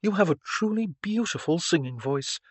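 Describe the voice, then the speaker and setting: A narrator voicing a courteous, gently teasing young viscount in an audiobook, with measured gallantry. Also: medium pitch, animated, no echo, lightly acted. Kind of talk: audiobook character dialogue.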